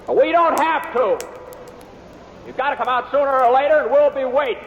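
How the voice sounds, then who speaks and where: A shouting posse man. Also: extremely high pitch, a little fast, reverberant, big-room sound. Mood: taunting. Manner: shouting, taunting. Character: posse member, man shouting into a cave, impatient. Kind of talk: 1950s radio western acting. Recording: muffled, hissy old radio recording.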